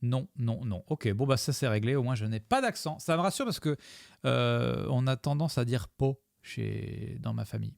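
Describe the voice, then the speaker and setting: A man's voice: medium pitch, animated, normal speed, creaky, darkish tone, no room sound, studio quality. A man streaming live, commenting on his own gameplay video with a chat, rambling and joking as he thanks his followers.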